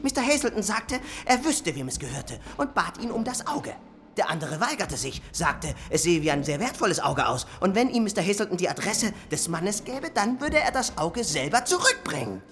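A woman's voice: medium pitch, sing-song, normal speed, lightly acted. An actress playing a brash, greedy lawyer in a German radio drama, hectoring and sarcastic as she cuts in. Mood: brisk, accusing. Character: brash, greedy, bullying court-appointed lawyer.

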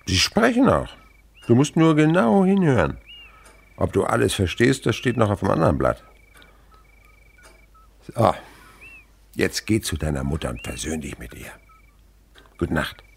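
An actor playing a kindly older naturalist in a radio play, talking in a gentle, musing storyteller's way. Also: male voice, lowish voice, huge pitch swings, measured pace, creaky, pauses often, slightly rough, exaggerated voice. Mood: warm, encouraging. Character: kindly older French naturalist, widower, indulgent with a boy. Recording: faint background noise, night insects chirping. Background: crickets and animal sounds.

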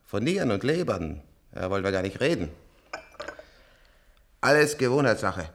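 An ancient man kept alive by transplants, sardonic and imperious. His delivery is theatrical and highly animated, sweeping up and down with bitter irony. The style is that of a science-fiction radio drama. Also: a little hiss or hum. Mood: sardonic, amused. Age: older. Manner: theatrical, chuckling, ironic, drawn-out delivery. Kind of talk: German science-fiction radio play acting.

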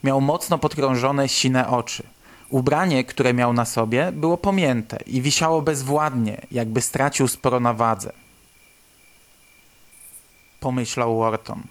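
Mężczyzna, narrator o średnim głosie. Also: male voice, mid-range voice, a little slow. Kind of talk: scripted literary narration for radio drama.

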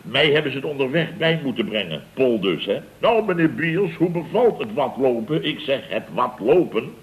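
An actor playing a blustering, self-important building contractor boss in a vintage radio comedy, with a pompous, rambling way of telling things. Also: male voice, mid-range voice, sing-song, normal speed, natural voice. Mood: animated storytelling. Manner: pompous, rambling, chuckling raconteur with exclamations. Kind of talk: 1960s-70s Dutch radio comedy acting. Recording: dull vintage radio recording.

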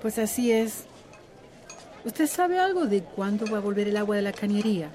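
Woman as village neighbour, mid-pitched, frank, practical, chatty, radio drama. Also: expressive, moderate pace, dark tone, lightly acted. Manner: conversational, matter-of-fact.

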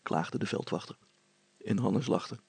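Gravelly male storybook narrator. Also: lowish voice, unhurried, darkish tone, dry recording. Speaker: audiobook narrator.

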